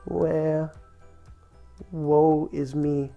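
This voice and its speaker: A man's voice: medium pitch, moderate pace, lo-fi sound, faint music behind, natural voice. A man voicing a sobbing little duckling. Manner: sobbing, wailing. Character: sad lonely little yellow duckling.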